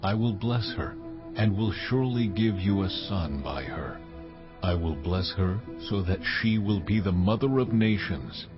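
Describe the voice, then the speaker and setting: A man's voice: sits low, animated, unhurried. A man playing a solemn, majestic God in a dramatized scripture reading, speaking in a stately, declamatory way. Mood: solemn, promising. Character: almighty God, solemn, commanding, majestic male deity. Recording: dull lo-fi sound, music underneath.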